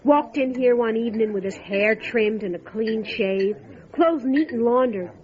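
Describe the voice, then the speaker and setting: An actress playing a wry, chatty small-town diner waitress, telling what she knows in a musing, expressive way, in old-time radio drama style. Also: on the high side, measured pace, dull audio, natural voice. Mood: musing, gossipy. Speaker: voice actor.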